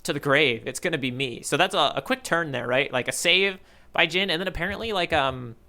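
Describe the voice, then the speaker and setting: Bright-voiced man podcasting casually. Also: a little high, brisk. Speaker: podcast co-host. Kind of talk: casual chat recapping a manga chapter.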